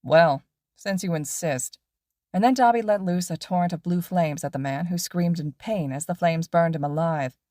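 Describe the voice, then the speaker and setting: A woman narrating a fan fiction audiobook in a low, steady voice. Her delivery is even and measured. The sound is close and dry, with no room echo. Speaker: podfic reader.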